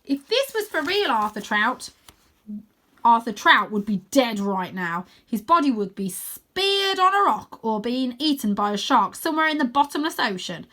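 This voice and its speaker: A woman's voice: a little high, big pitch swings, moderate pace, bright, forceful tone, pitched above the performer's own voice. A woman voicing a bossy, dramatic young girl who leads a gang, with a commanding, emphatic delivery in a storybook reading. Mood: loud, rallying.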